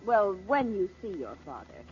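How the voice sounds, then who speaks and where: A woman's voice: medium pitch, very animated, moderate pace, darkish tone, lightly acted. An actress playing a rich man's scornful, greedy niece in a 1940s radio drama, cutting and sarcastic. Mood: contemptuous. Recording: muffled, noisy 1940s radio recording.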